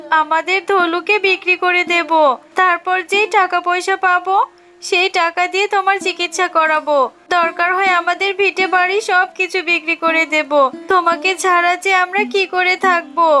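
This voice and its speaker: A woman's voice: very high voice, little pitch movement, normal speed, pushed and bright, pitched above the performer's own voice. A woman voicing a devoted fox wife and mother in a cartoon, fretful and motherly. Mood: distraught pleading.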